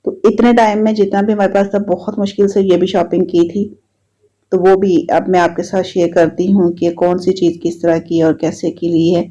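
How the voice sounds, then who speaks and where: A woman's voice: lowish voice, normal speed. A woman with a Pakistani Urdu accent and a slightly sore throat, chatting casually as she narrates her home video diary. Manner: chatty, repetitive, relaxed storytelling. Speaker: vlogger. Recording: dull lo-fi sound.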